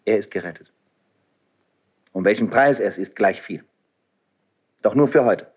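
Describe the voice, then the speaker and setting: Doomed idealistic nobleman, husky, low-pitched, declamatory, solemn, fervent, 1970s radio play verse. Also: normal speed, muffled recording. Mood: urgent, resolute. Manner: declamatory, solemn, emphatic delivery. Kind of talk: 1970s German radio drama, classical stage verse.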